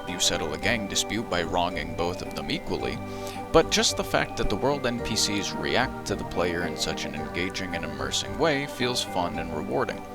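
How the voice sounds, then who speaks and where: A man in his adult years giving scripted, dry and wry voice-over narration as a video essayist. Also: low-pitched. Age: adult who played as a child in the early 2000s.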